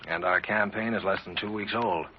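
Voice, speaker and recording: Man acting nervous polite american clerk, old radio play, low voice, muffled noisy recording. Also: fairly even intonation, normal speed, close to an everyday voice. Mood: deferential. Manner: hesitant, polite, cautious. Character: deferential, uneasy male clerk to a crooked boss.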